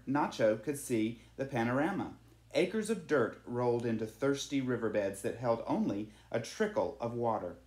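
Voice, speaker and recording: A storyteller reading a children's storybook aloud in an animated, dramatic way. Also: mid-range voice, reverberant.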